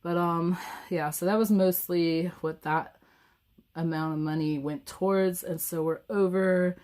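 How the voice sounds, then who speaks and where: A young woman, hoarse and raspy from a cold, casually talking through her budget at home with plenty of ums. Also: lowish voice, fairly even intonation, a little slow, a little mellow. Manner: chatty, with frequent ums and restarts. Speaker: budgeting vlogger. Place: at home, filming a planner on a table.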